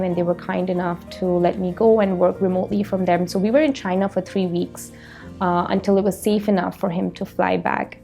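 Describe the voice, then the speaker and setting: Woman, 30s, from Malaysia, founder in a documentary interview; mellow, gravelly voice, thoughtful and filler-heavy. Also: medium pitch. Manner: reflective, frequent "you know" fillers. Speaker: company founder.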